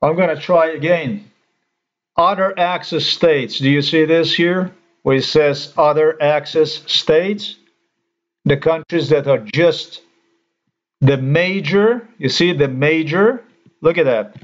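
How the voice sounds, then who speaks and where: Indignant man ranting, lo-fi. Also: on the high side. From Slovenia. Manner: indignant, halting, swears. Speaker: commentator. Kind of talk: ranting screen-recorded commentary.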